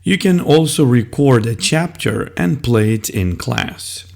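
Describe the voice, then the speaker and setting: A man explaining classroom methods as a teacher, in a deliberate, step-by-step instructional style. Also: mid-range voice, lively intonation, vocal fry, darkish tone.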